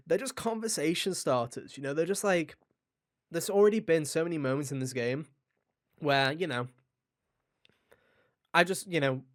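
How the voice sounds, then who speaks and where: A man co-hosting a gaming podcast, chatting casually and enthusiastically in a joking, sweary way. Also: fairly high pitch. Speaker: podcast host and game reviewer.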